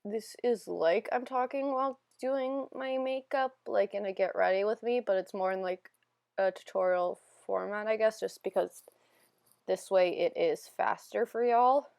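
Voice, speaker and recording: A young woman narrating a makeup tutorial in a casual, self-deprecating, rambling voiceover, her voice still a little hoarse from being sick. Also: medium pitch, a little slow, a little mellow, no echo, clean studio sound. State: slightly hoarse, recovering from illness. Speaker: beauty vlogger.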